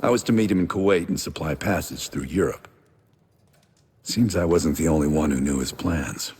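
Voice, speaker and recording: An actor playing a weary, hardened veteran CIA operative in a video game, gruff and guarded, with a husky, gravelly voice and a terse, matter-of-fact delivery. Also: male voice, a bass voice, normal speed, a little mellow, a little hiss or hum. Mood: guarded, bitter. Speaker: intelligence operative character. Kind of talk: cinematic video game dialogue.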